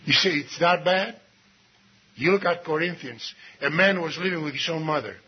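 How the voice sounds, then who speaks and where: A man preaching a sermon, swinging between low asides and raised exclamations and reading scripture slowly and deliberately. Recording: dull lo-fi recording with faint noise.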